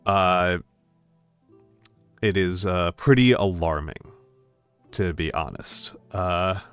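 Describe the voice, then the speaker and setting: A middle-aged American man chatting casually with stream viewers, pausing often with fillers and restarts. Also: low voice, clear, resonant tone, faint music behind. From United States. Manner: hesitant, many fillers and restarts. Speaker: VTuber streamer.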